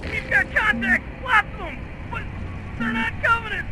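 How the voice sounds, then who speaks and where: An actor playing a frantic, faltering Marine corporal reporting in terror in a sci-fi game cutscene. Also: male voice, very high voice, moderate pace, a crowd in the background, noisy background. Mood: terrified. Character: panicked Marine corporal reporting over radio.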